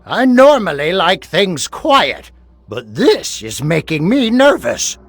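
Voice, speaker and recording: A man's voice: high-pitched, very animated, slow pace, gravelly, no room sound, full character voice. A man playing a grumpy, fretful old deity in a video game, muttering and crotchety. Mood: wry worry. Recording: loud game music underneath.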